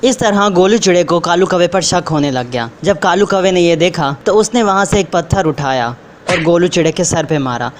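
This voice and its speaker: A man's voice: sits high, normal speed. A man narrating a scripted moral story for children. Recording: faint background noise.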